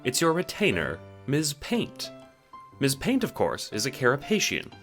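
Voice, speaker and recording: A man's voice: mid-range voice, wildly swooping pitch, measured pace, a bit bright, soft background music. A man narrating an audio drama as scripted, dramatic second-person storytelling.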